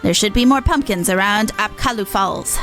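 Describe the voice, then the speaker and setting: A woman's voice: medium pitch, moderate pace, fairly bright tone, faint music behind, natural voice. A woman voicing a male monster clown from a video game, with theatrical, old-fashioned courtly phrasing. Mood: musing. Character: male monster clown, gruff-named, theatrical, eager to scare.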